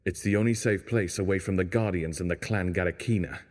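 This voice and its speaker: Audio drama survivor: male actor, grim stranded engineer, deep weary man's voice, reverberant space. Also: fairly even intonation, brisk, a little mellow. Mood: resigned. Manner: grave, world-weary. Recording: long hollow reverb.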